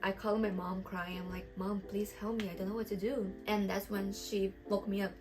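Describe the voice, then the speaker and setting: An encouraging young woman from Brazil speaks English with a Brazilian accent, her mid-range voice earnest and candid. She chats to the camera in a relaxed vlog, with clear music playing underneath. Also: medium pitch, a little fast. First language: Portuguese. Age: 20s. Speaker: vlogger. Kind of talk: casual advice vlog to camera. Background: background music.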